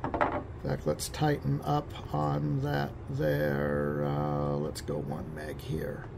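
Male technician, very gravelly fry, informal halting explainer delivery, noticeable background noise. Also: rumbling low. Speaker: radio technician giving a demonstration.

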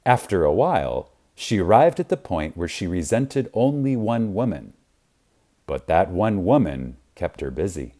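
A man reads an audiobook in a low, mellow voice with widely varied intonation. His delivery is reverent and emphatic. He leaves deliberate pauses for effect. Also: sing-song. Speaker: audiobook narrator. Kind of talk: scripted audiobook narration.

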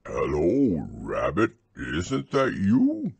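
A man voicing a slow, simple bear who loves food, speaking in a drawn-out, pondering way. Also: low voice, huge pitch swings, creaky, breathy edge, dry recording, exaggerated voice. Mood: puzzled.

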